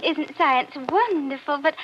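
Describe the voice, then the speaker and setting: A woman's voice: high voice, expressive, a little slow, slight background noise. An actress playing a gushing, falsely sweet and flirtatious chatterbox in a vintage radio drama, tittering and apologizing as she talks. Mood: sweetly catty. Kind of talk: 1940s radio acting. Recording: dull vintage radio recording.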